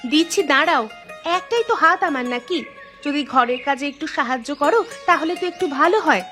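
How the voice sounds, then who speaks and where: Woman playing a high-pitched scolding village wife. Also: moderate pace, over music, lightly acted. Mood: fed up. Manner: scolding, sarcastic. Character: nagging, fed-up village housewife, sharp-tongued. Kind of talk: cartoon voice acting.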